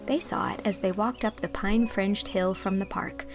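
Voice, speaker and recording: A woman narrates an audiobook from a script. Her voice is medium in pitch with a touch of vocal fry, and soft music runs underneath. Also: moderate pace.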